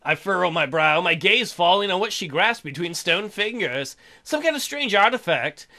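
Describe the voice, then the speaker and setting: A man's voice: high voice, lively intonation, normal speed, pushed and bright. A man hosting a let's play, reading a visual novel aloud and breaking in with irreverent, playful, mocking asides.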